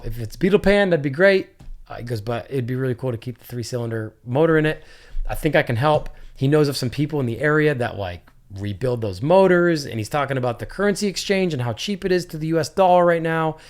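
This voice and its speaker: A man hosts a casual podcast, spinning a long story about a trip and a friend. He slips into the voices of the people he quotes and keeps the talk loose and chatty. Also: mid-range voice. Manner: animated storyteller, acts out quotes. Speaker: podcast host.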